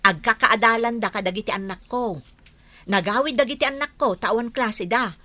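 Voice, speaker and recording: A woman's voice: fairly high pitch, moderate pace, bright, forceful tone. A woman playing a gossipy, insistent village mother with an Ilocano accent in a radio comedy drama. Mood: gossiping.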